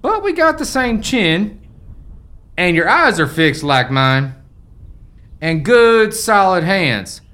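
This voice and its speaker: An actor playing a folksy, forgetful old American rancher's ghost in a family radio play, with rustic old-timer phrasing. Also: male voice, sits high, very animated, slow pace, long pauses, pushed and bright, heavily acted. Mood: curious, amused. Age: older.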